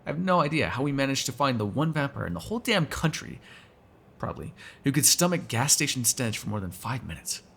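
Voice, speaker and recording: A sardonic male vampire, played by a male voice actor in an intimate roleplay audio. His voice is husky and crackles with fry, and it rises and dips freely as he needles and swears. Beneath the sarcasm he is both predatory and fond. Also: medium pitch, sing-song, a little fast, fairly bright tone, exaggerated voice. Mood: teasing, exasperated. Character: snarky, teasing male vampire captive, predatory yet fond. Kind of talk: ASMR roleplay acting.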